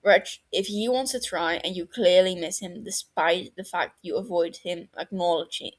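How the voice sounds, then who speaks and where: A woman's voice: fairly low pitch, normal speed, close to an everyday voice. Brief: a woman reading a crude, loyal young bloke in a storybook reading, laid-back and blunt, swears offhandedly. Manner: laid-back, swears casually, calls friend mate.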